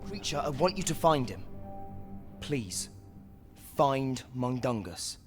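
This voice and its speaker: A man's voice: mid-range voice, big pitch swings, takes it slowly. An actor playing a determined young male wizard on the run, firm and urgent in his questions. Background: game music.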